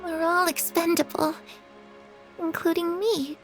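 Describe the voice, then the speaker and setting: Very high-voiced, fatalistic young woman over music. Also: little pitch movement, a little slow. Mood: bitter, fatalistic. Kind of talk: video game voice acting.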